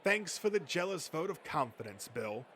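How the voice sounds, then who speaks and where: A man's voice: a little high, sing-song, moderate pace, a bit bright. Man playing a smug comic nerd, noisy recording. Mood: sarcastic. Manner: smug, self-important, swearing rant. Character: Josh, boastful, thin-skinned male comic-book nerd scheming for success. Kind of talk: amateur comic-book dub acting.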